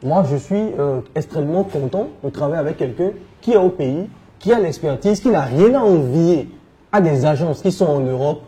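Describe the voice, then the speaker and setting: A man with a West African French accent, answering conversationally as an interview guest, telling his experience in an animated way. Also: a little high, normal speed. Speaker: interview guest, entrepreneur and coach.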